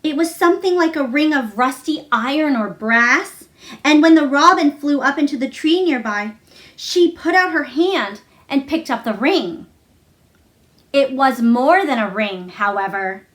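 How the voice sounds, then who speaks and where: A woman's voice: high-pitched, expressive, a little slow, a bit bright. A woman narrating a children's novel aloud as a storybook reader.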